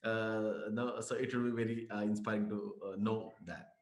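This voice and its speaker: A man's voice: lowish voice, steady tone, moderate pace. A man hosting a conversational interview, phrasing things in a hesitant, roundabout way. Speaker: interviewer, host. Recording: echoey room sound.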